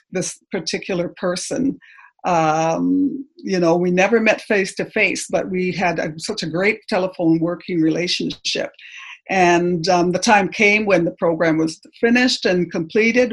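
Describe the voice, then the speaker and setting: A middle-aged woman from Canada with no accent, giving a personal testimony in church, hesitant and full of pauses and ums. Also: on the low side, slightly rough. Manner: hesitant, halting, many ums.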